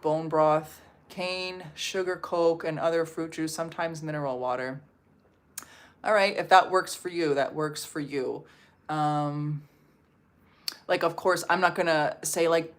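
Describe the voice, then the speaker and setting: A woman from Canada hosting a casual livestream from home, reading and answering viewer comments in a chatty way, with lots of "like" fillers. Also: sits low. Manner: chatty, filler-heavy with frequent "like". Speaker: livestream host. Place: home in Portugal.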